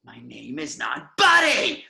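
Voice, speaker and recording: A man's voice: on the high side, huge pitch swings, slow pace, gravelly, sharp tone, full character voice. A man playing a gloating young supervillain with a grudge in a script read-through, boastful and theatrical. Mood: bitter, angry. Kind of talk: online table read of a film script.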